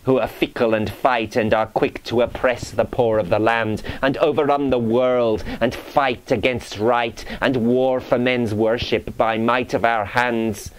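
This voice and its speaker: A man's voice: mid-range voice, fairly even intonation, unhurried, hardly any pauses, a little hiss or hum, close to an everyday voice, poor audio. Man as a bold medieval knight, anxious questioning, urgent declamation, verse romance storytelling.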